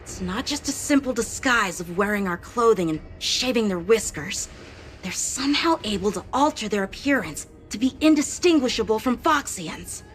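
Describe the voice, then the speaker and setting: An actress playing an alert, serious young woman investigating impostors in a video game, urgent and quick to worry. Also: medium pitch, animated, faint music behind, close to an everyday voice. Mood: earnest. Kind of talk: game voice acting.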